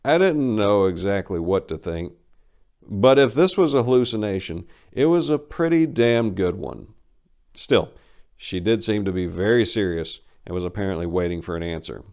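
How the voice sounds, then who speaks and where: A man performing a short story as a wry, rambling, incredulous accident survivor, animated and emphatic in a conversational way. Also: low-pitched, moderate pace. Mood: wry disbelief. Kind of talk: dramatic reading of a short story.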